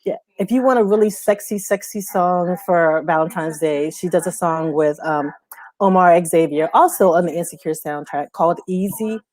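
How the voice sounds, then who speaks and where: A woman's voice: lowish voice, moderate pace, darkish tone. Female co-host for an unscripted music chat show; enthusiastic, slangy, emphatic delivery.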